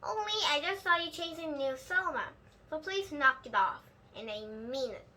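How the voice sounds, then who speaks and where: Woman as a high, dramatic, bossy little schoolgirl. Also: lively intonation, normal speed, bright, forceful tone. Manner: emphatic, hollering when upset.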